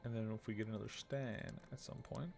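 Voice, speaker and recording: A man's voice: steady tone, a little fast, vocal fry, music in the background. A man in his thirties streaming a game, muttering casually as he thinks aloud and reads out text. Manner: low-key muttering, reading card text aloud. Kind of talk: casual let's play commentary, thinking aloud.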